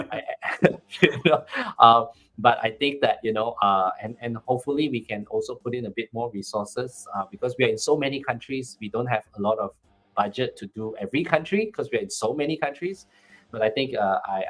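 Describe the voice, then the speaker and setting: A man's voice: lowish voice, fairly bright tone, rough recording. A man with a Malaysian accent, speaking as a guest on a panel, often restarting and repeating words. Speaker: country manager, panel guest. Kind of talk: casual panel talk.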